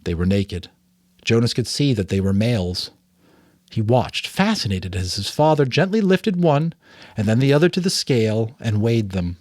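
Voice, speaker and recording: A man narrating a novel aloud as a dramatic, expressive reading. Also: fairly low pitch, moderate pace, no room sound, clean studio sound. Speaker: audiobook narrator and voice actor. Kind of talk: dramatic story reading with asides.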